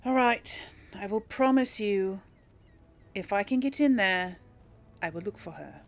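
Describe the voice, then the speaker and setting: A woman's voice: medium pitch, lively intonation, unhurried. A woman hosting a casual role-play let's play, chatting and reacting aloud as she plays. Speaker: gaming host, role player. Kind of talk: casual let's play commentary with in-character dialogue. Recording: game music behind the voice.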